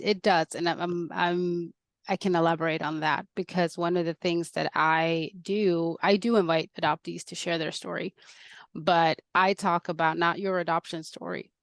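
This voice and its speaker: A woman's voice: fairly low pitch, no room sound. A woman in her forties, born in Colombia, telling her own story earnestly as a podcast guest. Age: 40s. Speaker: guest, adoptee. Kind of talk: reflective interview talk.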